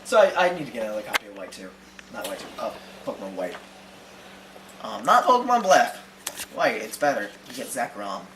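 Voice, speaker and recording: A young adult friend joking around in casual chat, speaking quietly with a sore throat. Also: medium pitch, very animated, brisk, noisy background. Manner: playful, jokey. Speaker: vlogger's friend. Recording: echoey room.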